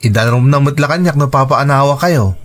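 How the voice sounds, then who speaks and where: An actor playing a dutiful, hardworking adult son in an Ilocano radio drama, respectful and reassuring, with a Filipino Ilocano accent. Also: male voice, mid-range voice, brisk, close to an everyday voice. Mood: affectionate.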